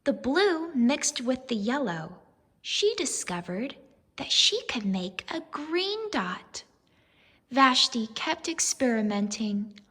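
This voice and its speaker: A woman reads a children's story like a teacher, slowly and with big swings in pitch. Her voice is husky and expressive, and it rings with a long reverb. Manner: expressive, deliberate, addressing young children. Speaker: teacher reading aloud. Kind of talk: children's storybook read-aloud with questions.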